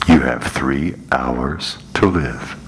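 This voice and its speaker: An older woman voicing a sinister unseen telephone caller in an amateur old-time radio melodrama, speaking slowly and coldly over a distant telephone sound. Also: extremely low pitch. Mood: menacing. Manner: slow, menacing, cold.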